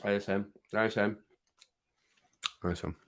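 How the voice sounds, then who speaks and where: A man hosting a casual livestream, his voice a bit croaky from singing, chatting to viewers at home and laughing often. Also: fairly low pitch, steady tone, normal speed, a little hiss or hum. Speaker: livestream host reading chat. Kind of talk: casual chat to a live audience. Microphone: home streaming mic with compressor.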